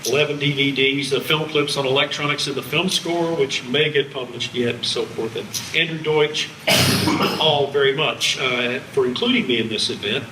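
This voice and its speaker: Older american guy lecturing w slides, wry asides, sharp bright voice, echoey room a bit far from mic. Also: medium pitch, normal speed. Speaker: music college lecturer. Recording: echoey hall, distant, faint background noise.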